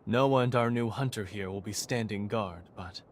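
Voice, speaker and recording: A male actor playing a composed, courteous institute leader in a video game cutscene, speaking in formal, measured, eloquent phrases. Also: lowish voice, slight background noise, natural voice. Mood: formal, assured. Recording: game audio with background music.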